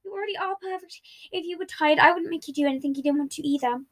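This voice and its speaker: A cornered man who lies by habit, played by a woman, in a dramatic audio story. The high voice is uneasy and defensive, with a dry, sarcastic edge. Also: fast talker, lightly acted. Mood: weary, defensive.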